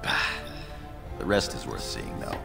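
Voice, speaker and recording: An actor playing a loyal, wry dwarven retainer in a video game, with dry, deferential joking and a gravelly voice. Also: male voice, unhurried, natural voice. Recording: music playing behind the voice.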